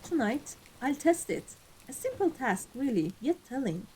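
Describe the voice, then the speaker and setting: A woman playing an amused, ruthless vampire mafia boss in a scripted ASMR roleplay, speaking in a deliberate, theatrical, condescending way. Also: high-pitched, sing-song, dark tone, slight background noise, rough recording. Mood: cool, commanding. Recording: voice over background music.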